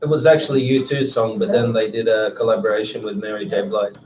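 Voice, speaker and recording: Relaxed, chatty man with a loud voice. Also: fairly low pitch, fast talker, soft background music. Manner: relaxed, chatty, plain-spoken. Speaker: interview guest. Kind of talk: casual conversation. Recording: slightly dull lo-fi recording.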